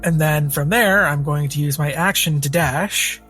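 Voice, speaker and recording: A man's voice: fairly high pitch, measured pace, bright, forceful tone, faint music behind. A man playing a tabletop game, talking through his turn in a casual, playful way.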